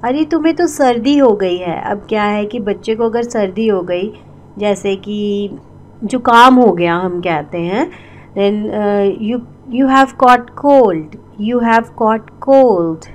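Slow, repeating Indian teacher woman. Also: mid-range voice. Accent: Indian English. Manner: repeats each English sentence slowly twice. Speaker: English teacher. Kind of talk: slow bilingual language lesson.

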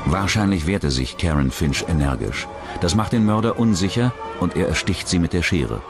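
A male narrator with a very deep voice reads a scripted true-crime documentary. Clearly audible music runs behind him. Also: expressive, normal speed.

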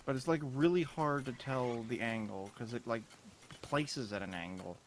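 A man's voice: mid-range voice, moderate pace, a little hiss or hum, poor audio. A man chatting casually with his partner during co-op gameplay. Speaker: co-op gaming partner.

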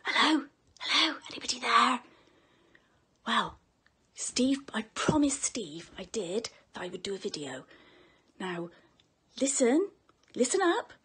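A middle-aged woman talks close to her phone indoors in a cheeky, chatty way. Her voice sits high and swings up and down a lot. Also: very animated, measured pace, pauses often, sharp tone, slight background noise. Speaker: patron sending a video greeting. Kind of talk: selfie video message. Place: indoor room by a window. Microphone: phone held close at arm's length.

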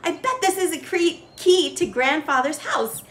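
A woman's voice: very high voice, sing-song, measured pace, pitched above the performer's own voice, full character voice. A woman voicing an eager, sweet seven-year-old girl in a children's storybook. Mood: curious. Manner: eager, bright-eyed, polite. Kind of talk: children's storybook read-aloud.

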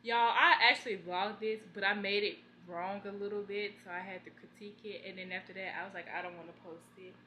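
A woman's voice: medium pitch, quick pace, hardly any pauses, a little hiss or hum, poor audio. A young woman in her twenties vlogging from her apartment, chatting casually to her phone camera with easygoing slang. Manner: relaxed, chatty, talks straight to camera with slang. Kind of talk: casual vlog chat. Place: small apartment kitchen indoors.

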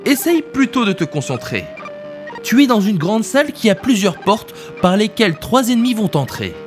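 Man playing patronising game master, excitable, very animated, high voice, radio comedy. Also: moderate pace, soft background music. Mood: coaching. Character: excitable male spy trainer, cheerfully patronising. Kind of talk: radio comedy sci-fi acting.

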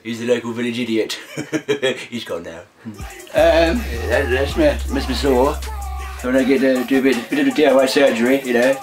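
Deadpan young man giving a jokey tour. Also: mid-range voice, normal speed. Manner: dry, mock-serious, sudden crude outbursts. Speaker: band member. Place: wooden garden shed and garden outdoors. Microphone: wide-angle handheld camera. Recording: music playing over the voice.

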